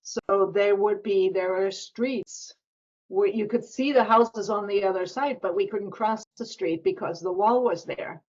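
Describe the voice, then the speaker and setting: A woman in her sixties from Germany with a German accent, speaking as an interview guest who explains history in a steady storytelling way. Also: mid-range voice, unhurried, dull audio. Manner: explanatory, steady, with small restarts. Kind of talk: conversational storytelling.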